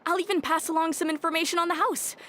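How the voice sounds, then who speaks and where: A woman's voice: very high-pitched, fairly even intonation, fast talker, pushed and bright. A woman voicing a hesitant, obliging young shopkeeper in a video game, easily surprised.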